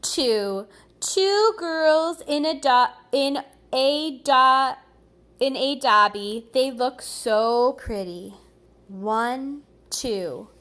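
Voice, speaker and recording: A woman with a high voice reads a picture book to small children. She takes her time, pausing over words and adding small asides, with an expressive teacherly delivery. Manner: slow, deliberate, expressive, pausing over words for young listeners. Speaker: preschool teacher reading aloud. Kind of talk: animated children's read-aloud with asides.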